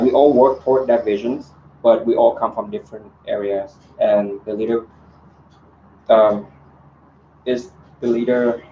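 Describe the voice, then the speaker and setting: Man, lowish voice, mellow tone, hesitant with many ums, echoey room, dull lo-fi audio. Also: slight background noise. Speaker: election candidate. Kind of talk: answering candidate questions.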